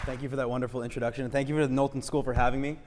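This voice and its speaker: A French Canadian man delivers a lecture in an auditorium with a brisk, conversational delivery. His voice sits at a mid pitch, and he pauses on frequent uhs. The hall gives his voice a long reverb. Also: little pitch movement, over a noisy crowd, heavy background noise. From Montreal, Canada. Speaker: guest lecturer, urban designer. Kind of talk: lecture presentation.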